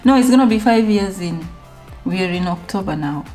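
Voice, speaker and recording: A woman's voice: mid-range voice, lively intonation, normal speed, darkish tone, faint music behind. A young woman from Kenya talking alone to her camera at home in a reflective, rambling sit-down vlog, full of fillers and restarts. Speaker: vlogger.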